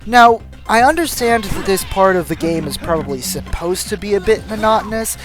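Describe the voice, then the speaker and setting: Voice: medium pitch, animated, moderate pace, music in the background. A narrator reading a scripted video game review as the host, with dry, self-deprecating humour.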